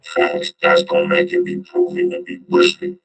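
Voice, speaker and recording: A man's voice: very high voice, moderate pace, a bit bright, soft background music. A man giving off-the-cuff reaction commentary in an informal, slangy way, repeating himself for emphasis. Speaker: host commentator.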